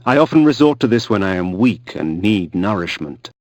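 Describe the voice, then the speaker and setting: A man's voice: fairly low pitch, big pitch swings, normal speed, darkish tone, no room sound, muffled recording. An actor playing a brooding, vengeful vampire nobleman, narrating a game in a theatrical, grave and ornate manner. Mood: grave, solemn. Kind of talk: dramatic scripted game narration.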